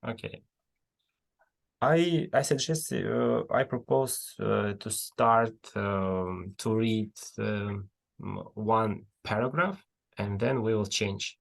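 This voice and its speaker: A man's voice: on the low side, takes it slowly, gravelly. A man who is not a native English speaker hosts an online speaking club on a video call, talking hesitantly with many fillers and restarts. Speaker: club host. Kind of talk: casual online conversation and reading aloud.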